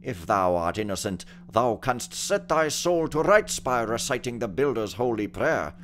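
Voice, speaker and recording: A man's voice: medium pitch, sing-song, moderate pace, a bit bright, a little hiss or hum. A man voicing a stern, pious monk who speaks in grave, archaic, sanctimonious tones while reading a story aloud. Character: stern, pious elderly male monk judge.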